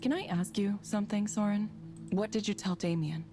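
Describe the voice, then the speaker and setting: An actress playing a concerned woman colleague in a visual novel, restrained, serious and direct as she quietly confronts someone. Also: mid-range voice, fairly even intonation, normal speed, slight background noise, natural voice. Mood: concerned, probing.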